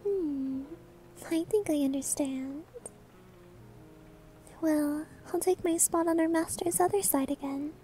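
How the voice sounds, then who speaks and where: A woman acts out a sweet, clumsy maid in an ASMR roleplay. Her voice is extremely high and velvety soft, with music behind it. She is cutesy, eager and gently teasing. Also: slow pace, long pauses.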